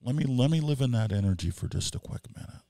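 A man in his forties, co-hosting a podcast in casual chat, rambling and animated as he tells stories with quoted asides. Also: mid-range voice, fast talker, no echo, studio quality. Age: 40s. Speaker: podcast co-host. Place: small wood-paneled studio room. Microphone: headset and boom mic.

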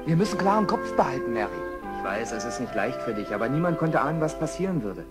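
Male actor as level-headed expedition survivor, children's audio drama, very animated, earnest, music behind. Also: medium pitch, quick pace, lightly acted. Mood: urging, reasonable. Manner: earnest, emphatic. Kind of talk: children's radio play acting.

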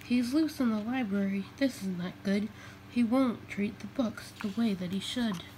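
A woman's voice: medium pitch, a little slow, dark tone, natural voice, rough recording. A woman voicing an earnest, eager young gingerbread man in a children's storybook, exclaiming in rhyme with determination. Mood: determined, indignant. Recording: faint noise, home recording.

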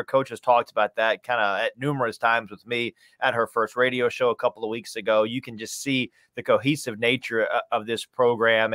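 A man in his thirties talks sports casually as a guest on a video call. His medium-pitched voice sounds close and dry, and he makes points conversationally, with emphatic repetition. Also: a bit bright, dry recording. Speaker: radio play-by-play announcer as guest. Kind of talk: casual sports interview talk. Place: small room, indoors, video call.

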